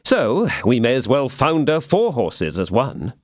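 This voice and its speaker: A man voicing a somber, quiet older male swordsman in an audiobook. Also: medium pitch, huge pitch swings, unhurried, no room sound, studio quality.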